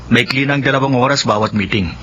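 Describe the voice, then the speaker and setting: An actor playing a calm, busy father and husband. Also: medium pitch, fast talker, slightly rough, lo-fi sound. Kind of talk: radio drama acting.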